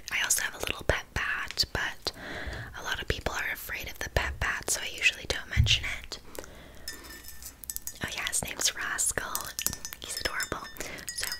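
Woman doing a whispery ditzy witch for asmr, rambly and deadpan, kinda judgy, super casual. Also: moderate pace, lightly acted, low-quality recording. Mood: chatty, busy. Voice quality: soft breathy whisper. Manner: rambling, deadpan, casual asides with filler words. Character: scatterbrained, bluntly judgmental, casual amateur witch. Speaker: ASMR roleplay performer. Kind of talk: whispered ASMR roleplay, improvised one-sided chat.

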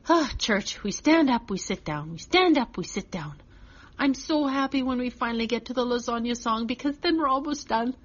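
A woman's voice: mid-range voice, fairly bright tone. Through slightly muffled audio comes a woman playing a restless little boy of six in a children's story. He chatters earnestly and expressively, in a childlike first-person telling. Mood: puzzled, candid.

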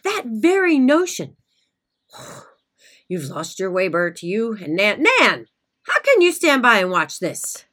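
Middle-aged woman, stern radio drama scold, bright forceful voice, very animated pitch. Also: slow pace, full character voice. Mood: indignant. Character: seething, devout, indignant sister of a small-town landowner. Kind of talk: radio play acting.

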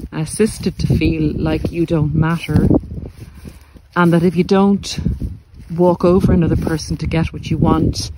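A man giving a reflective, earnest monologue to listeners, speaking deliberately in short phrases with pauses. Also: on the high side, flat delivery, very noisy. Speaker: podcast host and narcissistic abuse coach. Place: outdoors in the countryside, walking. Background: country sounds.

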